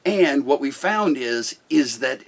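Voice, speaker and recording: A middle-aged man with a loud voice, a business owner talking bluntly and forcefully about management in casual talk. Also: medium pitch, expressive, slow pace, vocal fry, breathy edge.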